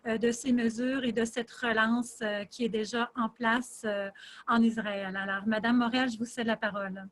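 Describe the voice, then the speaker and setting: Loud emphatic woman host. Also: a little high, steady tone, normal speed. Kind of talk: moderating an online meeting.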